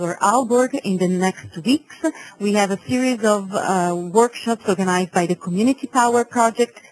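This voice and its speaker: A woman's voice: medium pitch, low-quality recording. Woman hosting an online webinar. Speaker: webinar host. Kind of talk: spoken webinar presentation.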